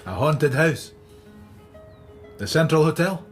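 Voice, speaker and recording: An actor playing a sardonic, blunt Scottish police inspector in a radio drama. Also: male voice, on the high side, lively intonation, takes it slowly, soft background music. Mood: probing. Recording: faint background noise.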